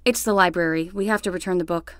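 A woman's voice: lowish voice, steady tone, quick pace, no room sound, clean studio sound, lightly acted. A woman playing a sensible, slightly awkward young summer nanny in a fiction podcast, talking in a conversational, hesitant way. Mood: hesitant, wry.

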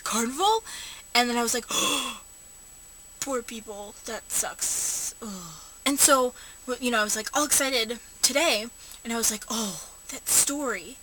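A young woman rambling and joking about herself in a casual vlog, recorded from a parked car on a camera held low at arm's length. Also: bright, forceful tone. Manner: chatty, self-mocking, many fillers. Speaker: vlogger. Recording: noisy home camera recording.

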